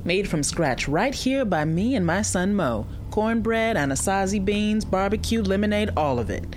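A woman's voice: mid-range voice, lively intonation, normal speed, darkish tone, soft background music, natural voice. A woman playing a hospitable, plain-spoken American mother who runs a barbecue stand, talking casually in an audio drama. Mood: welcoming. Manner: folksy, casual, straight-talking. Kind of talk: audio drama acting, conversational.

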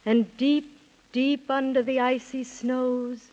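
A gentle fairy queen, speaks slowly and solemnly in rhyme with a fairly high voice. It is stately 1930s children's radio acting on a muffled old recording. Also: level tone. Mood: sorrowful, solemn. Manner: stately, measured rhyming speech.

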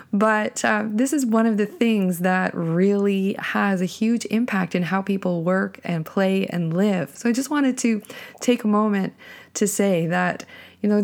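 A woman hosting a podcast solo, speaking earnestly and deliberately in a reflective monologue, her voice lowered by a cold. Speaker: podcast host.

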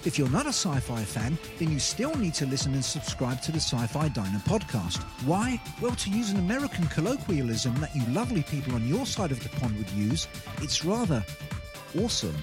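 A man's voice: a little high, sing-song, fairly quick. A British man reading a scripted podcast promo in a playfully polite, tongue-in-cheek manner. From Britain. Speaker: podcaster giving a promo endorsement. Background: music.

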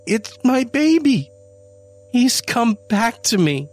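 Grief-stricken mother, shrill and desperate; a man reads her in a slow storybook voice. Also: bright, forceful tone, husky, full character voice. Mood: frantic. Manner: pleading, high and shrill. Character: grief-stricken woman, frantic, clinging to hope.

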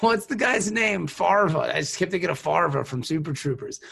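A man co-hosting a podcast in casual chat, joking with quick quips. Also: on the high side, animated, creaky, sharp tone, husky. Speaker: podcast co-host.

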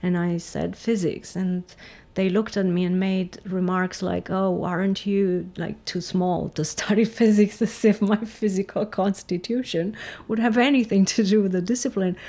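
A middle-aged woman from Romania, a physicist guest giving thoughtful, measured interview answers with frequent restarts. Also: medium pitch, fairly even intonation, mellow.

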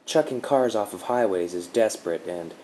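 A man voicing a sweet-talking female dark guardian spirit, soothing and gently mocking. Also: on the low side, moderate pace, a little hiss or hum, natural voice, poor audio. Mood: explaining.

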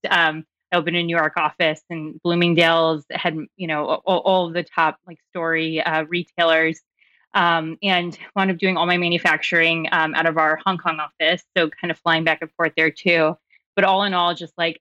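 A woman's voice: lowish voice, bright, forceful tone, no room sound. A woman talking casually and chattily as a startup founder introducing herself, in a rambling way with small chuckles. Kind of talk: casual spoken self-introduction.

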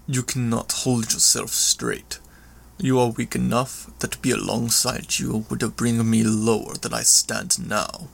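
A man voicing a proud, brutal supervillain with clawed hands, speaking in growled, halting phrases in an audiobook. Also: mid-range voice, little pitch movement, vocal fry, husky, full character voice. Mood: contemptuous, demanding. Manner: growling, halting, clipped foreign-sounding phrasing.

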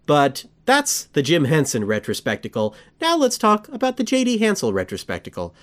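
A man hosting a fan podcast, chatting casually, rambling and correcting himself as he gets excited. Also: a little high, normal speed. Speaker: podcast host.

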